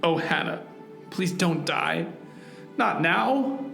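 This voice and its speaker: A man acts out an earnest hero telling his own adventure in a parody radio play. His delivery is overwrought and theatrical, swinging widely in pitch. The sound is echoey, with music playing clearly underneath. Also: lively intonation, slow pace, a bit bright, heavily acted. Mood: anguished. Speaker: narrator and lead actor in a radio play. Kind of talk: melodramatic scripted parody radio drama. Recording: roomy echo, music underneath.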